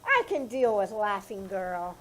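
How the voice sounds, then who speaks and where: A woman's voice: medium pitch, big pitch swings, a little slow, a bit bright, low-quality recording. A woman playing a brassy, bold maid in a live 1940s-style radio comedy.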